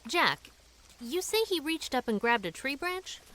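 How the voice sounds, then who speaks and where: An actress playing a sharp, wry saloon owner who talks with dry, teasing wit. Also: female voice, high voice, big pitch swings, measured pace, heavily acted. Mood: probing. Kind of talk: audio drama acting.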